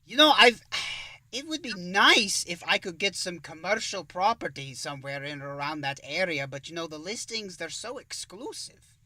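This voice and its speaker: A grandiose vampire count acted by a man hosts a spooky show. His voice sits fairly high and rings out brightly, swinging widely in pitch. He speaks with formal, theatrical flourish. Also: animated, moderate pace, full character voice. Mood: curious, polite. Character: campy male vampire count hosting a horror show. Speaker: interviewer and host. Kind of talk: theatrical horror-host introduction and interview.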